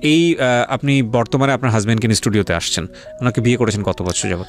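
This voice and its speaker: A man's voice: medium pitch, fast. A man with a Bangladeshi accent hosting a radio interview, asking direct, probing questions and mixing in English words. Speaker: radio show host. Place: radio studio. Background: music.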